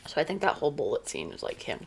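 A young woman co-hosting a casual fan chat, her voice sitting a little low and starting to go hoarse. She talks loudly and fills her sentences with "like". The talk rambles along in an easy, unscripted way. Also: big pitch swings, quick pace, slight background noise. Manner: chatty, fillers of "like", loud. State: losing her voice. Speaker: co-host.

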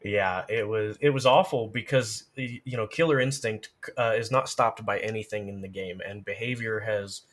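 Guy with a kinda low voice explaining stuff on a podcast, casual chat. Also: fairly even intonation, normal speed, few pauses, fairly bright tone. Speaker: podcast guest, game lore and gameplay expert.

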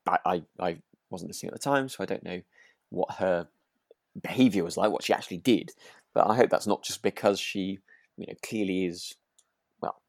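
Low-pitched, close and dry conversational voice. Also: fairly quick, vocal fry, dry recording. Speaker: podcast co-host. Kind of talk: relaxed conversational radio drama discussion.

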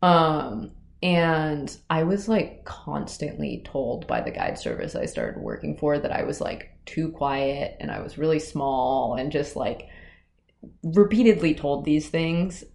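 A young woman, a climbing guide, talking quietly and casually in an interview, hedging with lots of fillers. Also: low voice, big pitch swings, slow pace, vocal fry. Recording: roomy echoey recording.

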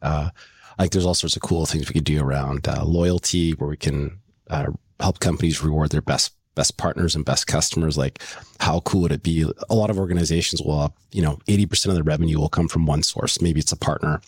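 A middle-aged man with a very low, husky voice talks eagerly on a podcast, telling stories and laying out plans. The recording is dry and close. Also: fairly quick, dry recording. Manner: animated storyteller, enthusiastic pitch. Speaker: company co-founder. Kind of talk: casual podcast chat.

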